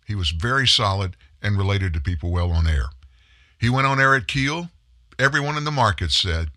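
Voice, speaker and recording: A man's voice: on the low side, bright, forceful tone, no room sound, clean studio sound. A middle-aged man hosting a political commentary show, telling a story with emphatic delivery. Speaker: host of a commentary news show. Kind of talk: scripted political commentary monologue.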